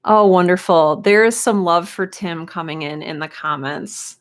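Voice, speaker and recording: A woman's voice: fairly low pitch, measured pace. A woman hosting a live stream, chatting casually and encouragingly as she greets viewers and reads out comments. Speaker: live stream host and writing teacher.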